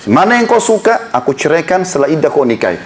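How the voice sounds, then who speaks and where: A man's voice: on the high side, big pitch swings, fast. A man preaching an impassioned sermon to a congregation in a prayer hall, building to emphatic appeals. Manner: impassioned, rising to emphatic exhortation. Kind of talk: Islamic sermon lecture.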